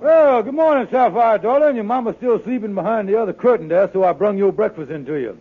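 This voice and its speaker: An actor playing a wheedling, fast-talking husband in a 1950s radio sitcom, with folksy, exaggerated dialect and a coaxing manner. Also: male voice, high voice, animated, faint music behind. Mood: cheerful, soothing. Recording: tinny, narrow-band old radio broadcast.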